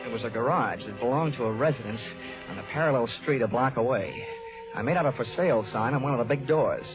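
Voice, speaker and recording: An actor playing a cynical, wisecracking insurance investigator in a 1940s radio detective drama, wry and dry. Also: male voice, medium pitch, quick pace, lightly acted. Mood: wry, narrating. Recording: tinny, narrow-band 1940s radio recording, music.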